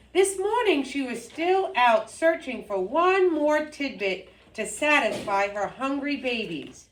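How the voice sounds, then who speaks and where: A woman reading a folktale aloud to children, expressively, deliberately and with dramatic emphasis. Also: high-pitched, a bit bright. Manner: deliberate, dramatic, with sound effects and repetition. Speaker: storyteller. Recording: roomy echo, distant microphone.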